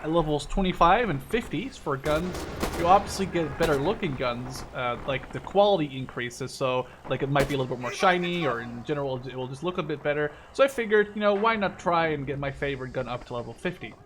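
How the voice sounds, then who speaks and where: Higher-voiced young man over loud game gunfire. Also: expressive, brisk, noisy background. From Netherlands. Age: 20s. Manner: rambling, self-correcting, talking while concentrating. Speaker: gameplay video creator. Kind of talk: casual commentary while playing a shooter game. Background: artillery fire and gunshots from the game.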